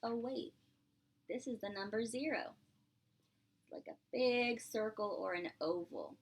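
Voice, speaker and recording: Female pre-K teacher for a picture-book read-aloud; slightly high voice, unhurried pace, playful delivery with simple explanations. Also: slight background noise. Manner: expressive, drawn-out, playful exclamations; simple explanations with frequent questions to the listener. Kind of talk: read-aloud to young children with questions and singing.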